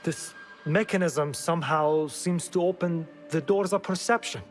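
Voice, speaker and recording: An actor playing a shady, self-justifying informant in a video game, smooth-talking and evasive. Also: male voice, fairly high pitch, normal speed, soft background music, close to an everyday voice. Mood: evasive, earnest. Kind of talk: dramatic game cutscene acting.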